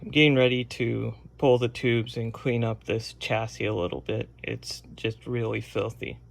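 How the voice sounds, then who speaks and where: A man giving a casual, unscripted, step-by-step narration of hands-on repair work, with a gravelly, creaky voice. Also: medium pitch, fairly even intonation, moderate pace. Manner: plain-spoken, unscripted step-by-step explaining. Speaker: radio restorer presenting a repair vlog.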